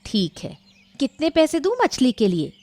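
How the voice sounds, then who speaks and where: A woman playing a friendly, curious village auntie in an animated folk tale. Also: high voice, moderate pace, full character voice. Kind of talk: animated Hindi moral-story voice acting.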